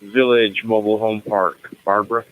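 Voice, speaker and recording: A man's voice: lowish voice, flat delivery, normal speed, no echo, narrow, tinny audio. An American man acting as net control, running a radio roll call in a formal, procedural manner.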